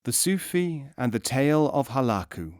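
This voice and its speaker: A man's voice: medium pitch, big pitch swings, moderate pace, darkish tone, no room sound. Dry, closely recorded male audiobook narrator. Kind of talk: scripted narration of philosophical teaching stories.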